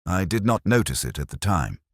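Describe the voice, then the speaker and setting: A man's voice: low voice, big pitch swings, brisk, dry recording, clean studio sound, voice pushed up, heavily acted. A man voicing a bland, evasive retired solicitor in a detective story, formal, fussy and resigned. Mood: evasive explaining.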